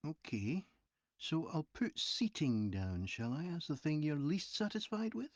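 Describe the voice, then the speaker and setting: A man's voice: fairly high pitch, sing-song, a little slow, dry recording. A man playing a courteous, methodical survey interviewer in a scripted dialogue. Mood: polite, businesslike. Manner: polite, methodical questioning. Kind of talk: scripted listening-test dialogue.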